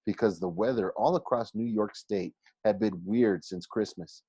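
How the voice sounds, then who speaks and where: A man reading a children's novel aloud like a teacher to a class, expressive but halting, often going back over phrases. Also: lowish voice, breathy edge, no echo. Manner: halting, stumbles and rereads phrases.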